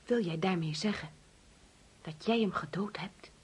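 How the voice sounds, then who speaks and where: Upset grieving mum in a radio drama, super breathy husky voice, half whispering, disbelieving. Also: female voice, on the low side, unhurried, darkish tone. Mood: shocked. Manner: breathy, often dropping to a whisper. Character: distraught grieving mother, pleading and disbelieving.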